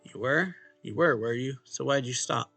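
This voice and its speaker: Bright-voiced man reading a story, dry sound. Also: mid-range voice, normal speed, creaky, husky, no room sound. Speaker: let's play host. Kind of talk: reading visual novel narration and dialogue aloud. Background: game music.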